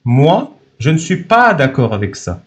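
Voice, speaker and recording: Animated, insistent man hosting a debate. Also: medium pitch, unhurried. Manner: insistent, blunt, emphatic. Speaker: live debate host. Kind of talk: heated live-stream debate.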